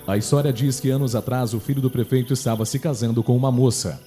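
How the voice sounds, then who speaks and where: A Brazilian man narrates a scripted horror story. His voice is mid-pitched and mellow, reading as the narrator of a radio drama. Faint music plays behind him. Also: fairly even intonation, quick pace.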